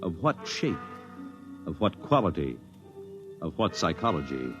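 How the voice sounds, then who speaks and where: Low, portentous American radio announcer over music. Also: male voice, big pitch swings, lo-fi sound. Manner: portentous, measured, declamatory. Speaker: announcer and narrator. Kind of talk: dramatic scripted 1950s radio narration. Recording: vintage radio recording over dramatic music. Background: loud dramatic music.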